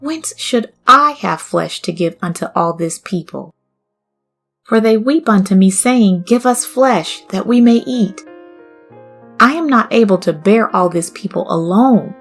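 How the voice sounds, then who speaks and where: Burdened biblical leader played by a woman reader: emphatic, pleading, slow declamation for audio scripture, music bed. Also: medium pitch. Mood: anguished, indignant. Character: Moses, burdened male leader pleading in anguish.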